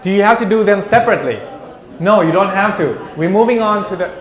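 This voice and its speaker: A man's voice: sits high, expressive, fast talker. A male university lecturer teaching a class, teasing students and calling on them by name. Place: lecture room. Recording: echoey lecture room.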